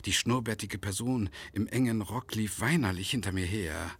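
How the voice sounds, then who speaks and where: An actor playing a reserved, frosty first-person narrator in a radio drama, telling his story wryly in a husky voice. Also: on the low side, moderate pace. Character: embarrassed, frosty male narrator fleeing an admirer.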